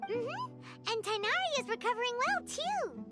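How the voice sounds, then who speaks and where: A woman voicing a small, childlike fairy companion in a video game, squeaky, curious and blunt. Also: sing-song, moderate pace. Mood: cheerful. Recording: music under the voice.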